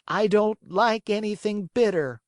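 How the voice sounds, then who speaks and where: A man's voice: high voice, unhurried, no echo, clean studio sound, pitched above the performer's own voice. A man voicing a stubborn wooden puppet boy with a whining, petulant voice.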